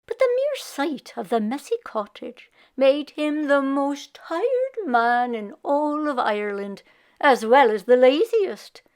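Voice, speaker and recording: A woman reading a children's picture book aloud as an expressive storyteller. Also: high-pitched, takes it slowly. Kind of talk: expressive scripted read-aloud narration for children.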